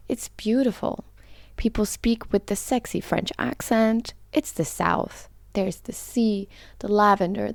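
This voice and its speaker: A woman hosting a podcast, giving an expressive, playful introduction and then interviewing a guest. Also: mid-range voice, gravelly, no room sound, studio quality. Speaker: podcast host.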